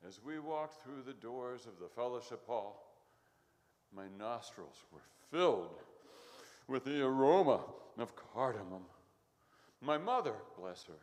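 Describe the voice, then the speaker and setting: A man playing a nostalgic, rambling older festival commentator in a live radio comedy, folksy and halting. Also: mid-range voice, lively intonation, darkish tone, lightly acted. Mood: wistful. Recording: echoey hall with long reverb.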